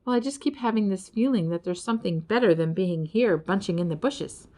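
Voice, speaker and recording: A woman's voice: medium pitch, lively intonation, brisk, warm tone, close to an everyday voice. Woman as a wistful little girl creature. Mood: wistful, dreamy. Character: sad, dreamy little girl fish-bird creature.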